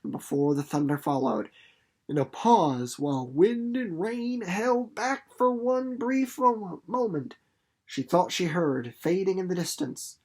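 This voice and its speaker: A man reading a story aloud expressively and explaining it casually in an animated, folksy, playful teacher's manner. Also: high-pitched, a little slow.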